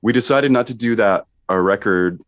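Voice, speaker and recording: A man with a deep timbre, a musician and voice actor, chatting casually as a guest. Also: moderate pace, no echo, clean studio sound. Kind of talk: casual chat.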